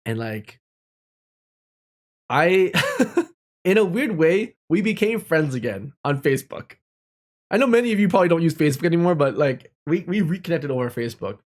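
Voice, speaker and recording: A man's voice: fairly high pitch, sing-song, moderate pace, long pauses. A young man telling personal stories in a casual, candid and reflective way, speaking informally. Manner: candid, informal, with mild swearing.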